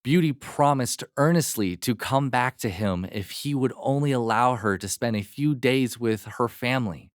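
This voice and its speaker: A man narrating a fairy tale as a storyteller, in a measured, expressive manner. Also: medium pitch, no echo, studio quality.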